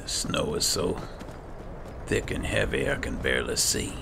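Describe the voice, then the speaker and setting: A man talking in character in an unhurried, muttering way as he plays through a fantasy video game. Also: low-pitched, sing-song. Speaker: gamer playing in character. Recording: clearly noisy, game ambience behind.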